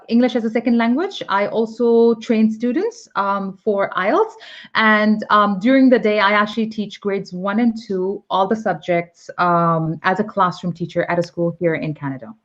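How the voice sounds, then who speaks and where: A woman's voice: medium pitch, moderate pace, a bit bright, highs cut off. A woman from Pakistan teaching English on a live video call, chatty and explaining with examples and many fillers. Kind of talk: live online English lesson.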